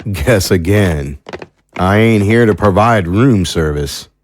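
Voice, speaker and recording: An actor playing a gruff, curt, mocking prison guard in an audio drama, speaking in threats. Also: male voice, deep voice, takes it slowly, a little hiss or hum. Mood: threatening.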